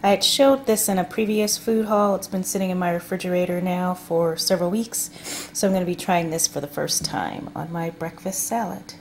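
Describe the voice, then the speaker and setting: A woman vlogger casually talking through her grocery haul at home, unhurried with frequent ums. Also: on the low side, fairly even intonation, darkish tone, a little hiss or hum. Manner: chatty, unhurried, many ums. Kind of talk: casual food haul narration. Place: home kitchen table.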